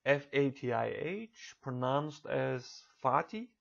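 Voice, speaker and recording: A man in his thirties from Turkey with a Turkish accent, delivering a recorded online college lecture in a measured, careful way. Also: mid-range voice, expressive, vocal fry. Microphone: computer mic for a narrated slideshow.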